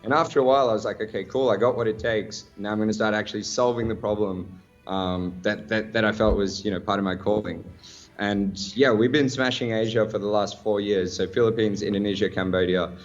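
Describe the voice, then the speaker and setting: A man's voice: on the low side, steady tone, brisk, dull audio, soft background music. An Australian man in a casual radio interview as a guest, talking in an upbeat, slangy way. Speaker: guest, startup founder.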